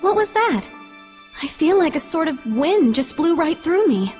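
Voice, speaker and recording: An actress playing a gracious young princess with a polite, formal manner in a fantasy game. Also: female voice, high-pitched, big pitch swings, unhurried, a little mellow, faint music behind. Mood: earnest, concerned. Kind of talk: dubbed fantasy game acting.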